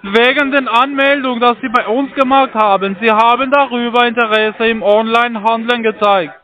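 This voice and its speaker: A man with a foreign accent, not a native speaker, making a phone sales pitch in halting, ungrammatical sentences. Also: fairly even intonation, sharp tone. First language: not German. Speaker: call centre phone salesman. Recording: muffled phone line.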